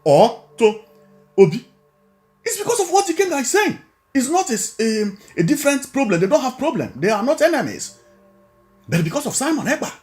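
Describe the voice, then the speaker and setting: A man's voice: very high voice, big pitch swings, brisk, darkish tone, soft background music. A man with a Nigerian accent giving informal political commentary, repeating points emphatically and asking rhetorical questions. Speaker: online video commentator.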